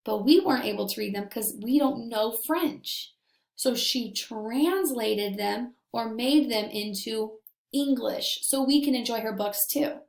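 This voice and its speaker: A woman teacher giving a read-aloud lesson to young children, enunciating deliberately and explaining things simply as she addresses them directly. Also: on the high side, gravelly.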